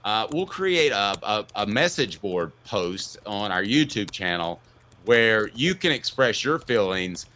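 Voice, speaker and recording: A man's voice: on the low side, very animated, unhurried, sharp tone. A man in his fifties hosting an opinionated sports talk show, telling stories casually in a jokey, mock-indignant way. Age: 50s.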